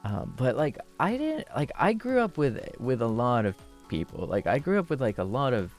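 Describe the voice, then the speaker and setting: A young man streamer chatting casually with viewers, laughing often and thanking them warmly, moving between a low relaxed voice and a higher one. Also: moderate pace, faint music behind. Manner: shifts between low chill voice and high voice.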